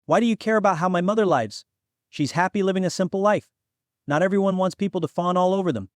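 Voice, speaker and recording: A man's voice: high voice, fast talker, no room sound, studio quality, voice pushed up, close to an everyday voice. A man voicing an earnest, cautious young demigod who protests and takes offence easily, in a fantasy audiobook. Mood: indignant. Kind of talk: fantasy audiobook character acting.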